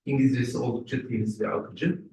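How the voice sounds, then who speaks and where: A chatty host who encourages the audience. He has a mid-pitched male voice. He sounds far from the mic in a very echoey room. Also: steady tone, quick pace, warm tone, slight background noise.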